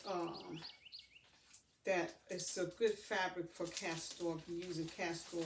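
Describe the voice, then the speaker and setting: A woman giving a casual, halting unboxing chat to her viewers, addressing them in a familiar, homely way as a vlogger. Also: fairly low pitch. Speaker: vlogger showing purchases. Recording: roomy echo, distant mic, faint noise.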